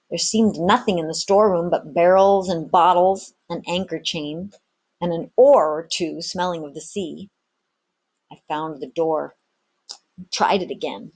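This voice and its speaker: A woman's voice: low voice, vocal fry, darkish tone, slightly rough. A woman narrating an adventure story expressively, like a teacher reading aloud to students.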